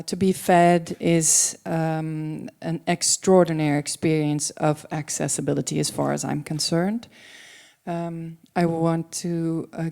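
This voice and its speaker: A woman whose first language is Dutch, sharing a personal reflection at a public panel in a considered, articulate manner with thoughtful pauses. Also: fairly low pitch, warm tone. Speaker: panel participant. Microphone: handheld microphone.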